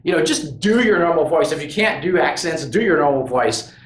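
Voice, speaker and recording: Man, channel host, casual monologue, slightly high voice, lots of restarts, echoey room. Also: expressive, brisk, slight background noise. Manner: rambling, earnest, with many restarts and "you know". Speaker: host of a tabletop gaming crafts channel. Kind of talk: casual opinion monologue to camera.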